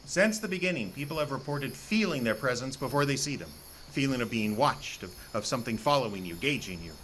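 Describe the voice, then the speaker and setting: A man's voice: mid-range voice, expressive, brisk, a bit bright, a little hiss or hum, natural voice, low-quality recording. An actor playing a paranoid, foreboding woodsman in an audio horror drama, insistent and given to rambling. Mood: smug, ominous. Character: paranoid, ominous woodsman convinced that creatures are coming.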